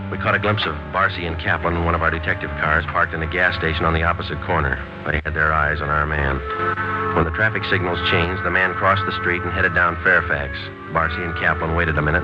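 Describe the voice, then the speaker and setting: An actor playing a terse, steady police sergeant who narrates in a clipped, matter-of-fact way, in the style of 1950s radio drama. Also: male voice, low-pitched, natural voice. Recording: dull vintage radio recording.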